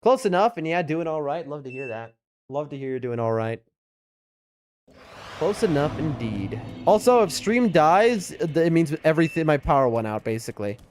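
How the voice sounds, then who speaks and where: A man's voice: fairly high pitch, animated, normal speed, darkish tone. A man with a New York accent giving casual, rambling live game commentary and chatting to his viewers. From US East Coast. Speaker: game streamer. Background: music.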